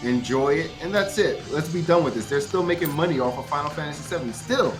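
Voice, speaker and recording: Blunt, opinionated man, slightly high voice. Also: fast talker, over music, rough recording. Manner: opinionated, blunt, repetitive, swearing. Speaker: podcast panelist. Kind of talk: casual gaming debate.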